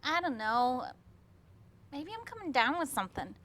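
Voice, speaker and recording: An actress playing a weary, evasive young housewife in a theatrical comedy reading, hesitant and flustered. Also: female voice, sits high, big pitch swings, normal speed, a bit bright, slight background noise. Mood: distracted, tired. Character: weary, evasive young 1960s housewife, sweet but frazzled.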